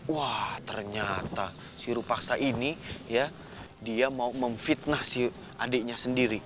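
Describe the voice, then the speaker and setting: A man's voice: medium pitch, unhurried, background noise. A man telling a story to children in an informal, chatty way, often putting questions to his young listeners.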